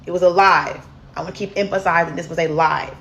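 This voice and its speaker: A deep-voiced woman, candidly telling viewers a personal story in a casual storytime vlog. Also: steady tone, fast, gravelly, a bit bright. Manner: candid, chatty, addresses viewers as y'all. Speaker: storyteller host.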